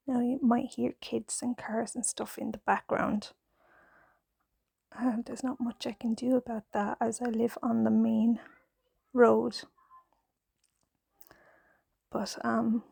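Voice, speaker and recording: An Irish woman in her thirties with a husky voice, talking gently and hesitantly in a soft ASMR chat at home, into a clip-on mic. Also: a little high, no room sound. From Ireland. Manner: gentle, hesitant, nervous, with many fillers. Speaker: knitting ASMR creator, mother. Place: home, in pajamas. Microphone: clip-on mic on pajamas.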